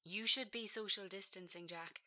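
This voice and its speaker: A woman playing a concerned, subdued sister in a script reading, speaking quietly and briefly with gentle reproof. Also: mid-range voice, moderate pace.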